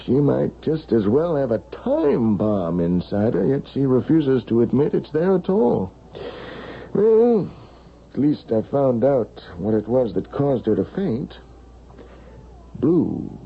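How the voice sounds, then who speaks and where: Worried gravelly male doctor. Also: medium pitch, big pitch swings, slow, full character voice. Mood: concerned, pondering. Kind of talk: 1970s radio drama acting. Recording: muffled, hissy vintage radio.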